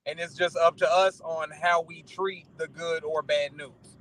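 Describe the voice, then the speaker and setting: An American man with a high-pitched voice talks as a show guest. His delivery is casual and conversational, with a motivational bent. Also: steady tone, measured pace, fairly bright tone, low-quality recording.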